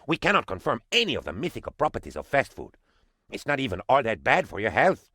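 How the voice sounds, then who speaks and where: An obsequious, excitable presidential aide in a comic strategy game. His theatrical speech swoops widely at a middle male pitch. The sound is close and dry. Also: quick pace, no room sound, full character voice. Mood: disappointed, hesitant. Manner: theatrical, fawning, swooping between excitement and dismay. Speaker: game advisor character. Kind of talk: comic video game voice acting.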